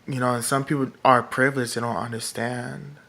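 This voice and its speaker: A man with a feminine-sounding voice, talking in a rambling vlog monologue that is halting and full of fillers and restarts. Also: mid-range voice, little pitch movement, gravelly, bright, forceful tone. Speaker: political vlogger. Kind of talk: casual monologue to camera.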